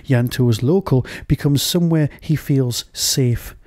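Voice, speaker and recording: A man's voice: medium pitch, measured pace, a little mellow, no echo, studio quality. A man hosting a podcast, delivering a review as a monologue and often answering his own rhetorical questions.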